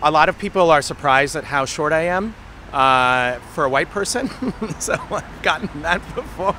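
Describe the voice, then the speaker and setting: Man, bright voice, calm, thoughtful pauses. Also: on the high side, slight background noise. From northeastern United States. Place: city street outdoors.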